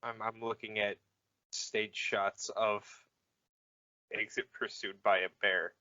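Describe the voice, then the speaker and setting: Bright-voiced man, halting podcast chat. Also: lowish voice, level tone, dry recording, highs cut off. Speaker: podcast co-host. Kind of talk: casual chat.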